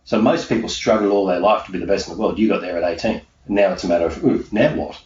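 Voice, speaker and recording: Australian male coach-interviewer, deep voice, emphatic and questioning, muffled recording with room noise. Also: fast talker, darkish tone. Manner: emphatic, poses rhetorical questions and answers them. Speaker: podcast host and mindset coach. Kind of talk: conversational motivational interview. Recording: echoey room, muffled, noisy background.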